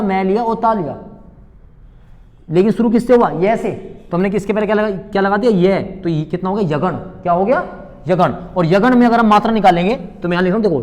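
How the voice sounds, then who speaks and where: A man's voice: high-pitched, a little mellow, big-room sound. A male teacher giving an exam-prep lesson. He asks a question, repeats it, then answers it himself, and calls his students beta. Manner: addresses students fondly as beta, bachche.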